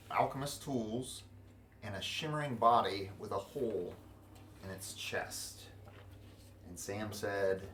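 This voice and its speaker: Slow, low-voiced game master. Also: male voice, roomy sound, a little hiss or hum.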